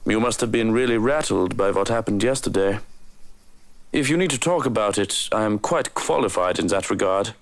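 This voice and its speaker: A man playing a composed, dutiful household servant in a visual novel, speaking in a formal, measured way. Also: on the low side, lightly acted. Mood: calm concern. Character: composed, dutiful male household servant advising his employer.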